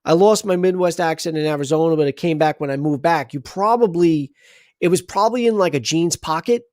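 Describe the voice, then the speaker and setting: A chatty man hosts a sports livestream and rambles through his sign-off. His voice sits a little high for a man. The sound is dry and close. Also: fairly quick, a little mellow, no room sound. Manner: rambling, chatty, many restarts. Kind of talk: casual sports livestream talk.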